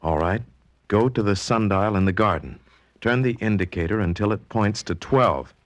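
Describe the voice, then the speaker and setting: An American actor playing an excitable, anxious young heir in a 1940s radio drama, hesitant in his delivery. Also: lowish voice, normal speed, dry recording, natural voice. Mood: excited, astonished.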